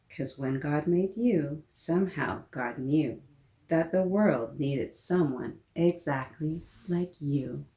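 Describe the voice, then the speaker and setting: A woman hosting a children's story time, reading a picture book aloud in a playful, expressive way and talking directly to her young listeners. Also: sits low. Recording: roomy, echoing sound.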